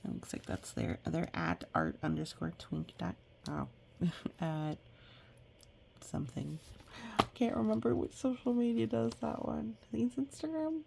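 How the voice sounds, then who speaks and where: A woman reading a picture book aloud with chatty asides, reacting expressively and explaining things gently as if to young children. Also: a little high, expressive, measured pace, creaky, slight background noise.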